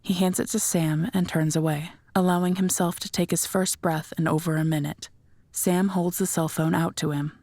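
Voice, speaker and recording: Low-voiced woman narrating audio drama. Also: steady tone, moderate pace, darkish tone, no echo.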